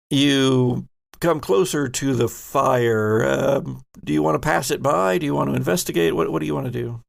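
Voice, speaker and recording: Gravel-edged man narrating as game master. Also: mid-range voice. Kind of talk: narrating a horror sci-fi tabletop roleplaying game.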